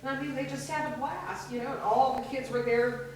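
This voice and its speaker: A woman's voice: high-pitched, fairly even intonation, fairly quick, a little hiss or hum. A middle-aged woman sharing rambling, heartfelt memories at a memorial service in a church. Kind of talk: informal memorial remarks. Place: church sanctuary. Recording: echoey room, mic far off.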